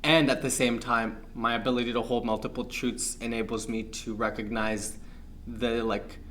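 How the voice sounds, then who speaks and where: Young male guest from Pakistan, measured reflective delivery, mid pitch, light background hum. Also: level tone, a bit bright. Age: young adult. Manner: thoughtful, measured, many fillers. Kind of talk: reflective interview talk.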